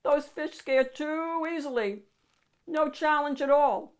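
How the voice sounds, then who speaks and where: A woman's voice: sits high, slow pace, voice pushed up. A woman voicing a growly, playful hungry monster. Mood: affable. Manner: growling, mock-polite menace. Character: hungry, fond male monster, playfully menacing old companion.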